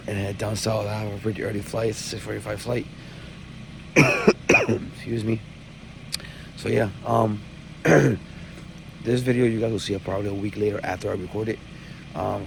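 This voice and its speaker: A young man vlogging as he travels, hoarse from losing his voice. His voice sits a little low and crackles with fry, and he hesitates, filling gaps with ums and uhs. Loud background noise surrounds him. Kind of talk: casual vlog to camera. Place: outdoors then at an airport.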